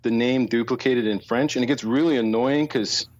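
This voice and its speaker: A man from Canada chats as a podcast guest, telling easygoing stories and poking fun at himself as he wanders off on tangents. His voice sits at an ordinary middle pitch for a man. The recording sounds a little dull and lo-fi. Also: moderate pace, a little hiss or hum. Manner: chatty, self-deprecating, laughs at his own tangents. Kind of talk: casual chat.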